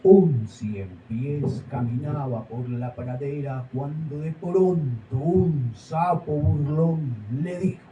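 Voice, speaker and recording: An actor telling a fable and greeting the audience like a show host, slow and measured. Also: male voice, on the high side, dull audio, over music. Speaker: stage actor and narrator. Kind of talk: storytelling and mock show hosting. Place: small theater with a live audience. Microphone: far camera. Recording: echoey room, far camera, faint noise.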